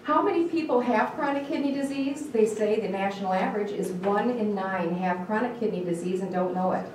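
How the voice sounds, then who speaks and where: A woman's voice: mid-range voice, a little fast, darkish tone. An earnest woman with a Michigan accent lecturing to a live audience, stopping to put questions to the crowd. The sound is very echoey and picked up from well back in the hall. A faint hiss sits under her voice. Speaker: dietitian giving a talk. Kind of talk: live educational talk to an audience. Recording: echoey room picked up from a distance.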